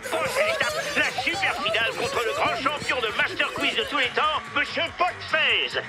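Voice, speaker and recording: A man's voice: extremely high pitch, very animated, moderate pace, over loud music, a loud crowd. A man voicing a flamboyant cartoon TV quiz show host with exaggerated announcing. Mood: excited, triumphant.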